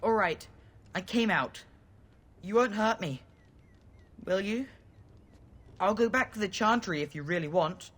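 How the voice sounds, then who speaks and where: A woman's voice: medium pitch, animated, unhurried, long pauses, fairly bright tone. A woman playing a scared but stubborn small orphaned village boy in a video game, defiant and pleading in a childlike way. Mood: timid, sheepish. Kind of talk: video game dialogue acting.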